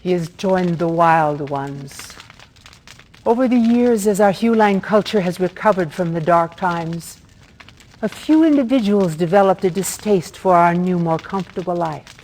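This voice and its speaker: A woman's voice: on the low side, a little slow, darkish tone, lightly acted. A woman playing a proud, sorrowful middle-aged mother who trades weapons in a fantasy video game, speaking in a formal, theatrical way. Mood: solemn. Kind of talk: dramatic video game voice acting. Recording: faint background noise, clicks.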